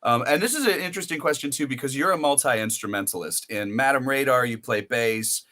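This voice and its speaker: American male host, bright forceful voice, loose chatty interviewer who wanders into anecdotes. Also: mid-range voice, lively intonation, fairly quick. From United States. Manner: rambling, storytelling with many restarts. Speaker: podcast host. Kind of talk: casual interview chat.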